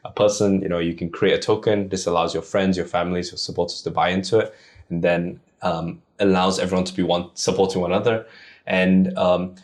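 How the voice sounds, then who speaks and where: Low-voiced man explaining at length. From Singapore. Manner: persuasive, explanatory, talks in long run-on lists. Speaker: guest, tech founder. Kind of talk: podcast interview.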